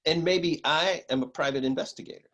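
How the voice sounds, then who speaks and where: A man playing a cocky, cool, lecherous private eye with deadpan swagger in a comic noir radio play. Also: on the high side, brisk.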